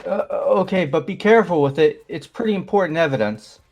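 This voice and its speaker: A man's voice: on the high side, brisk, creaky, a little mellow, faint music behind. A man voicing a suspicious young male classmate in an amateur game dub, wary and accusing.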